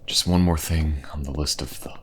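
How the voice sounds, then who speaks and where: A man's voice: very deep voice, fairly even intonation, moderate pace, background noise. An actor playing a remorseful, devoted king and father in a fantasy audio drama, speaking in a formal, earnest, emotional way.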